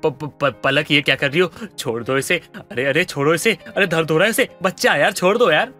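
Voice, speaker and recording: A man playing an exasperated young husband who complains and pleads in an animated family story. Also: fairly high pitch, a little fast, soft background music. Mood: alarmed. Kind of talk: animated family drama dialogue.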